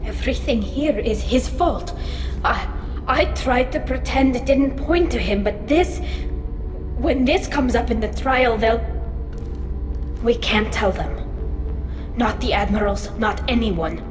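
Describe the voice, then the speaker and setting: A young alien woman from a video game, loyal and grieving. Her voice sits fairly high and is full of pained earnestness. It rings in a long reverb over clear music. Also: slow, pauses often. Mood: anguished.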